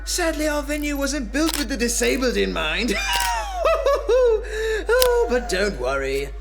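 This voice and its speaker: A man's voice: very high-pitched, sing-song, a little slow, creaky, loud music behind the voice, heavily acted. A man playing a gleeful, sadistic clown villain in a radio drama, theatrical and taunting. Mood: mocking menace.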